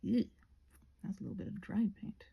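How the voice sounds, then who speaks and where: Husky, softly rambling woman. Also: mid-range voice, normal speed. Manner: breathy, often dropping to a whisper; self-mocking, playful asides to herself. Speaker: ASMR creator painting a craft while rambling. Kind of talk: rambling casual chat, soft ASMR.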